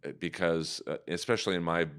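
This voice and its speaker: A man with a deep baritone voice that sits very low and rings smooth and resonant. He tells stories casually on a podcast, animated and profane. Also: fast talker. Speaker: comedian and voice actor guest.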